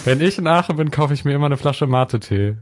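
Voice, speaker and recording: A man's voice: fairly high pitch, animated, fast, noisy background. A young man chatting casually, telling anecdotes and laughing along. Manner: storytelling, laughs through anecdotes. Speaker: volunteer working in an archive. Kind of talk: casual chat.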